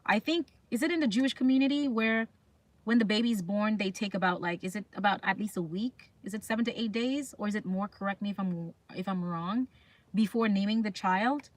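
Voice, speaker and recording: A woman's voice: mid-range voice, fairly quick, no echo. A woman chatting casually as a livestream host, talking straight to viewers and often putting questions to them. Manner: chatty, reads comments aloud and puts questions to viewers. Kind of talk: casual live chat.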